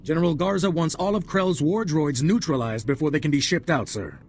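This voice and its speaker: An actor playing a dutiful military corporal who gives a formal, clipped briefing and ends nearly every point with "sir". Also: male voice, on the high side, a little fast, a little mellow, a little hiss or hum, lightly acted. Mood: earnest. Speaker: briefing officer. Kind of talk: video game cutscene voice acting.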